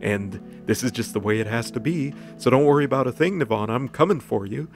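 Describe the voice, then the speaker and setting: Man playing a gravelly, deluded lovesick would-be hero. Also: mid-range voice, fairly quick, lightly acted. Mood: obsessive, boastful. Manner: self-justifying, melodramatic. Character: obsessive, deluded lovesick male illusionist, self-styled hero. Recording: music under the voice.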